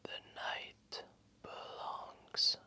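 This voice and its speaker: A man voicing an ancient, wise and gentle old dragon in a gravelly, breathy voice, telling a bedtime story slowly and soothingly. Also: exaggerated voice. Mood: soothing, hushed. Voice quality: gravelly, breathy, often whispered. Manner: slow, solemn, soothing, deliberate. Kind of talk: slow bedtime storytelling, ASMR.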